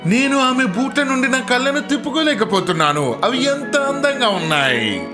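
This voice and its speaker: A man's voice: extremely high pitch, sing-song, normal speed, music in the background. A man playing a gullible, exclaiming shopkeeper in a children's cartoon story. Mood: admiring. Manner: exclaiming, admiring. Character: impressed, gullible shopkeepers and market folk.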